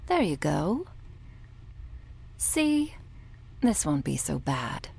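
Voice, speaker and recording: A woman playing a cold, domineering medic in an ASMR roleplay, speaking in a breathy, condescending near-whisper with quiet menace. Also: sits low, big pitch swings, extremely slow, exaggerated voice. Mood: falsely soothing. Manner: condescending, menacing, coolly authoritative. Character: cold, sadistic, domineering woman medic running a captive operation.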